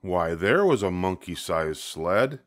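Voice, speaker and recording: A man's voice: sits low, very animated, takes it slowly, a bit bright. An older man reading a children's picture book aloud, expressively, leaning on key words. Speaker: storybook reader.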